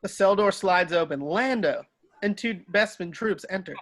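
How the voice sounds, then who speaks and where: A man's voice: high voice, moderate pace, fairly bright tone. A man narrating screenplay stage directions in an amateur table read, sometimes stumbling and rereading. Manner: stumbles over words and rereads.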